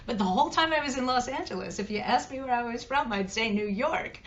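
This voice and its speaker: A woman's voice: fairly high pitch, animated, a little fast. A middle-aged American woman chatting casually as a podcast guest, often checking in with "right?". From suburbs of Philadelphia, United States. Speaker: podcast guest, dating coach. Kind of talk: casual conversational interview. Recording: muffled, clearly noisy connection.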